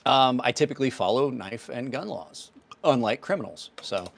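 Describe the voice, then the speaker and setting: A man's voice: medium pitch, lively intonation, normal speed, vocal fry. A man in his fifties hosting a knife livestream, answering viewers' questions in a rambling, offhand way. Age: 50s. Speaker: knife channel host. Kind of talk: casual livestream chat.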